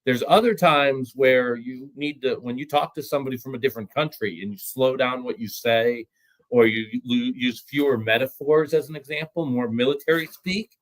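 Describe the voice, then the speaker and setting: A man's voice: mid-range voice, moderate pace, poor audio. Matter-of-fact man explaining regulations. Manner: matter-of-fact, explanatory, some stammered restarts. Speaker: trucking recruiting executive, guest. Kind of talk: podcast discussion.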